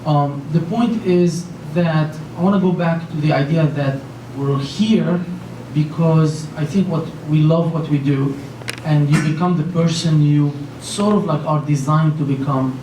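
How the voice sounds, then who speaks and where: A man from Lebanon talks on a panel, earnest and rambling, with lots of fillers and restarts. His voice sits a little high but sounds mellow. He is picked up from some distance in a roomy, echoing hall with a faint hiss behind him. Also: measured pace, hardly any pauses. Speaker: panelist, company founder. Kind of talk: panel talk. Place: large conference room with an audience. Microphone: handheld mic. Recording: echoey room from far off, faint noise.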